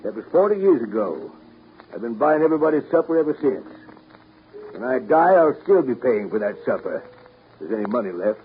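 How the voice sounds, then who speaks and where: A blustery old grandfather, played by a man in a 1930s radio play, grumbling about supporting his family. His delivery is emphatic and very animated in pitch, on a tinny, narrow old broadcast recording with music behind. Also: a little fast, lightly acted. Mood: grumbling reminiscence. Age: older. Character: gruff old grandfather, grumbling, practical-minded family provider. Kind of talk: 1930s radio drama acting.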